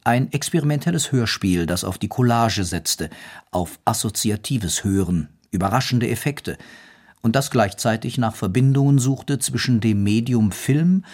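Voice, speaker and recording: A man's voice: medium pitch, lively intonation, normal speed, darkish tone. A man reading scripted narration as a documentary narrator.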